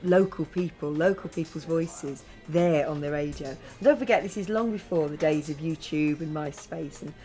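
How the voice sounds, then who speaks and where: A woman's voice: low-pitched, moderate pace, few pauses, warm tone, faint music behind. A middle-aged woman giving interview testimony as a radio station director. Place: small office, indoors.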